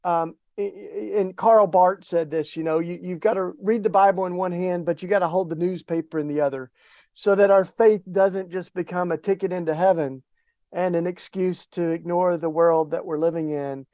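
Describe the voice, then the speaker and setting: A middle-aged man with an East Tennessee Southern accent, talking casually as an interview guest, folksy and relaxed, with many restarts and fillers. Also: high-pitched, moderate pace, dry recording, studio quality.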